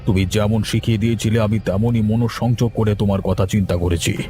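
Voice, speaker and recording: A man playing a gruff, callous older village headman in a cartoon horror drama. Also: on the low side, steady tone, brisk, warm tone, soft background music, close to an everyday voice. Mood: fearful.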